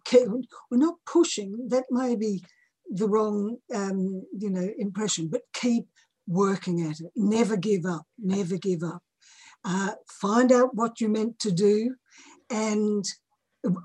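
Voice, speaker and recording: A woman in her early seventies with a husky voice, speaking as an interview guest in an emphatic, motivational way and repeating key phrases. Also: medium pitch, dark tone, no room sound.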